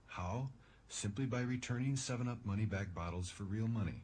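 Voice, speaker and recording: A man playing a mock-serious underground tipster in an old scripted soda radio commercial, deadpan and earnest. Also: lowish voice, fairly quick, a little hiss or hum, lightly acted.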